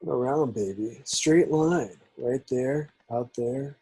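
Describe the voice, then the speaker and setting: Man playing cocky, husky young college jock. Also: medium pitch, slow pace, dark tone, no echo, rough recording. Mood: bossy, playful. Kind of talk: amateur screenplay table read.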